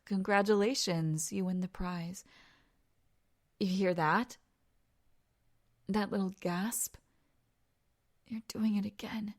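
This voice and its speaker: A woman playing a teasing, domineering young woman in an intimate audio roleplay, creaky and breathy, often dropping into whispers. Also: medium pitch, exaggerated voice. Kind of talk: intimate audio roleplay, second-person dramatic monologue.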